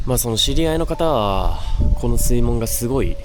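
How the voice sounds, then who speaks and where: A man hosting a haunted-spot exploration, talking casually and haltingly as he comments on location outdoors. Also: medium pitch, lively intonation. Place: outdoors by a river floodgate at night. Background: background music.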